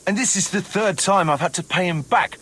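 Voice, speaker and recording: An actor playing a cynical, roguish mercenary captain in a video game, sardonic and swaggering, with a rough voice. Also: male voice, sits high, a little fast, a bit bright, slight background noise. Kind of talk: dramatic video game dialogue.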